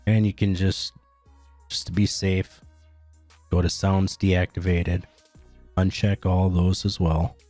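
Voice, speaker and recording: Male tutorial narrator, very low and mellow, plain instructional delivery. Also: little pitch movement, takes it slowly, pauses often, dry recording, faint music behind. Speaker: tutorial host. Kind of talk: step-by-step software tutorial narration.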